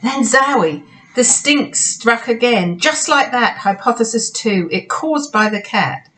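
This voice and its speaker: A woman reading a children's story aloud as a teacher would, with expressive delivery and asides to young listeners. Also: on the high side, a little slow, rough recording. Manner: asks the listening children questions. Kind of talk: expressive picture-book read-aloud with asides.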